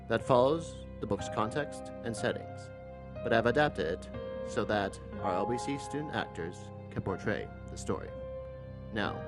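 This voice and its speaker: A man presenting a scripted introduction to a radio drama. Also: lowish voice.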